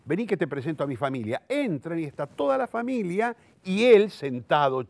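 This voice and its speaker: A man's voice: on the high side, very animated, normal speed, gravelly, darkish tone, dry recording. A man in his sixties with a Rioplatense Argentine accent, speaking reflectively and eloquently in an interview, often repeating words as he searches for the next. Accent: Rioplatense Argentine Spanish. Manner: eloquent, self-correcting, thinks aloud with searching repetitions. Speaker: playwright, director and theatre teacher.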